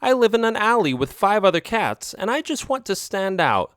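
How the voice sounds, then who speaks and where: A man's voice: sits high, sing-song, normal speed, a bit bright, dry recording, studio quality, voice pushed up. A man voicing a pushy, dramatic young female cat who whines and sobs to get her way. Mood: coaxing. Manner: whiny, tearful, manipulative.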